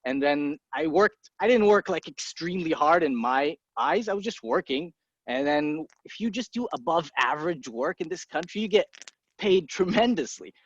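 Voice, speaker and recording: A man in his thirties from Egypt talks in a blunt, easygoing way and swears casually as he answers. His voice sits a little high for a man. The recording is dry and a bit muffled. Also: lively intonation, husky, dry recording. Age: 30s. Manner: blunt, swears casually, quick impulsive asides. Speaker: guest, entrepreneur. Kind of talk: casual interview talk. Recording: dry muffled podcast audio.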